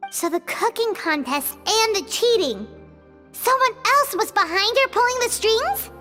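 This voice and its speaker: A tiny, excitable female fairy sidekick from a video game. The voice is extremely high, bright and squeaky, with bouncy, animated pitch. She chatters and exclaims like an easily baffled cartoon child. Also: measured pace, soft background music, heavily acted. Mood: puzzled. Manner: exclamatory, squeaky cartoon delivery, refers to self in third person.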